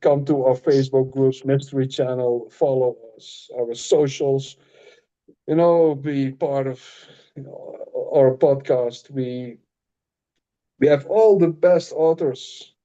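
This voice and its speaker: A man with a Dutch accent, a non-native English speaker co-hosting a casual podcast, talking in halting phrases with frequent restarts. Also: mid-range voice, fairly even intonation, warm tone, muffled recording. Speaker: podcast co-host and author.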